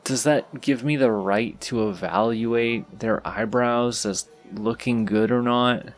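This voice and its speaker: A man playing a shy, anxious, sweet young stranger in an audio roleplay, talking hesitantly and self-consciously in a creaky voice straight to the listener. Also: medium pitch, steady tone, faint music behind, lightly acted. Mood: nervously rambling. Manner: hesitant, self-conscious, rambling, halting speech.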